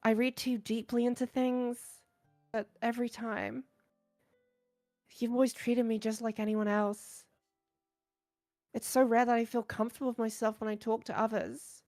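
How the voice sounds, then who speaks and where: Casting: female actor as insecure, soft-spoken bookworm girl, visual novel game, slightly high pitch, halting earnest delivery. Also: flat delivery, soft background music, natural voice. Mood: earnest, vulnerable. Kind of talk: visual novel character voice acting.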